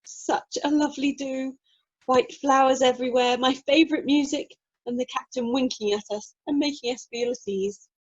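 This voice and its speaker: A woman's voice: sits high, fairly even intonation, normal speed, muffled recording. A woman playing a sweet, earnest newlywed wife in an amateur radio comedy, over online meeting audio. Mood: dreamy, happy.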